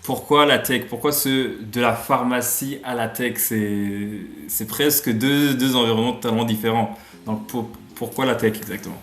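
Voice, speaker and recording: A man's voice: mid-range voice, normal speed, a bit bright, reverberant. A young man hosting a podcast, asking questions in a hesitant way with many fillers and restarts. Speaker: podcast host. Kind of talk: interview questions.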